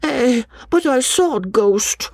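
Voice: mid-range voice, slow pace, gravelly, mellow, no room sound, pitched above the performer's own voice. A storybook reader voicing a stubborn little village schoolboy with a Northern English dialect and insistent way of speaking. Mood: tearful, stubborn. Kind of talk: audiobook character dialogue.

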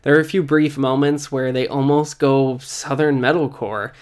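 A man's voice: medium pitch, steady tone, moderate pace, a little mellow, clear, resonant tone. A man hosting a music history series, narrating a scripted video essay in informal, conversational phrasing.

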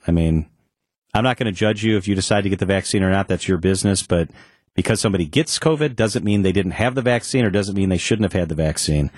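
A man's voice: fairly low pitch, no room sound, clean studio sound. A middle-aged man hosting an afternoon radio talk show, telling a personal story in a candid, conversational way. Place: radio studio. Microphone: studio microphone.

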